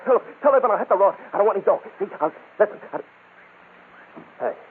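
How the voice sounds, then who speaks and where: An actor playing a frightened small-time crook who pleads in repetitive slang, in a 1940s radio crime drama. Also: male voice, high-pitched, expressive, fast, slightly rough, full character voice. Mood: panicked, pleading. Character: terrified small-time crook begging for his life. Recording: scratchy, tinny old-time radio recording.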